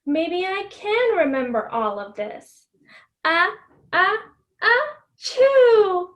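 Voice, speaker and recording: Eager, dutiful little storybook girl acted by a woman, high voice. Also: big pitch swings, very slow, muffled recording, full character voice.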